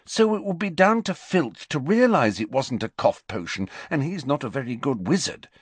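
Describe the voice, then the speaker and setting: A man voicing a bookish, exasperated teenage girl in a storybook reading, with a brisk, disapproving, explanatory manner. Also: medium pitch, very animated, breathy edge, no room sound, pitched above the performer's own voice.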